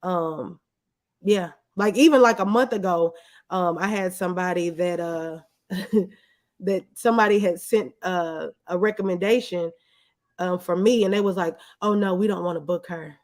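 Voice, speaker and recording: A woman's voice: fairly low pitch, unhurried, a little mellow. A woman talking casually as an interview guest, informal and colloquial in a reflective way. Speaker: guest, videographer.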